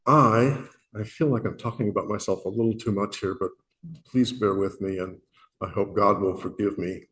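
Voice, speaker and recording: A man from Illinois with a booming voice, speaking earnestly and self-deprecatingly to a worship meeting, often pausing and restarting his sentences. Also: steady tone, fairly bright tone. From Illinois, USA. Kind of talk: reflective spoken message.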